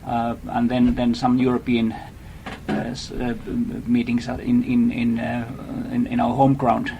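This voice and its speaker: A man's voice: mid-range voice, completely flat delivery, unhurried, background noise. A man from Finland, a guest in a radio interview, speaking hesitantly with many fillers. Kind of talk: casual interview.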